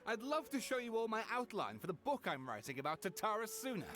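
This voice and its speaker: A man's voice: sits high, very animated, quick pace, over music. An actor playing an earnest, polite young male scholar in a video game. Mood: eager, polite. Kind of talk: video game dialogue acting.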